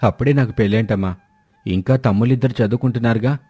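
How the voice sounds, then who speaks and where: Earnest, mellow eldest brother, played by a man. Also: medium pitch, fast talker, slightly rough, soft background music, close to an everyday voice. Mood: reluctant. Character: dutiful, responsible eldest brother in a family story.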